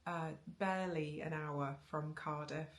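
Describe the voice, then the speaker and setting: A woman's voice: low-pitched, steady tone, measured pace. A woman in her thirties chatting casually to camera about knitting, rambling with ums, restarts and little laughs, recorded in her living room. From Wales. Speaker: knitting vlogger. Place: living room with bookshelves, indoors. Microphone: camera on a tripod facing her.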